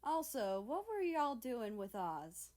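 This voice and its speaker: A woman's voice: on the high side, sing-song, takes it slowly, a little mellow, pitched above the performer's own voice. A woman voicing a brash, smug teenager in a story, with sarcastic, teasing retorts. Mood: sardonic. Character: brash, smug teenage country-person, teasing and hot-headed. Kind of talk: animated storybook character voice.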